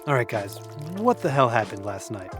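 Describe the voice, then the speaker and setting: A man as lively, easygoing young housemate. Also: fairly low pitch, moderate pace. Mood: casual. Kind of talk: naturalistic audio drama dialogue. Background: music.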